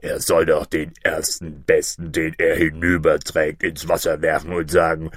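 A man voicing a gruff, boastful, man-eating griffin in a fairy-tale reading, slow, scornful and commanding. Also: rumbling low, little pitch movement, fairly bright tone, no room sound, clean studio sound, pitched below the performer's own voice.

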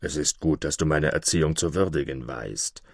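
A man voicing an arrogant, bad-tempered male wolf who talks down to the fox in a gruff, grumbling way. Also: dry recording, studio quality. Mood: condescending. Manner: gruff, grumbling, low and slow.

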